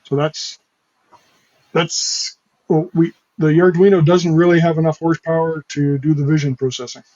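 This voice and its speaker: A man in his fifties explaining technical points in a relaxed, measured way. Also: on the high side, muffled recording. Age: 50s.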